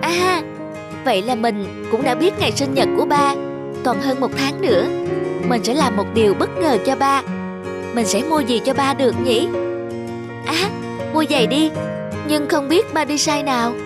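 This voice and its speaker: A very high, youthful voice for a devoted, sentimental daughter in a cartoon drama. She speaks earnestly and expressively, with clear music behind her. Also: lively intonation, normal speed, pauses often. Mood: eager. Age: young adult. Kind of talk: animated cartoon drama acting.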